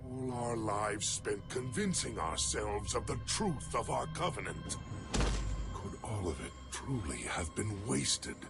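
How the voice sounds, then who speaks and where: A low, gravelly alien warrior from a video game. He is proud and solemn, with formal, weighty phrasing that moves expressively. Music sits clearly behind him. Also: animated, takes it slowly, full character voice. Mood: anguished. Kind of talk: video game cutscene acting.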